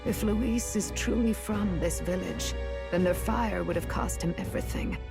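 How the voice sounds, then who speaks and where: A woman voicing a grave, learned sage in a fantasy video game, explaining in formal, measured, solemn sentences. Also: mid-range voice, gravelly, close to an everyday voice. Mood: grave, foreboding. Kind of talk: scripted video game voice acting. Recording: loud music behind. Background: game soundtrack music.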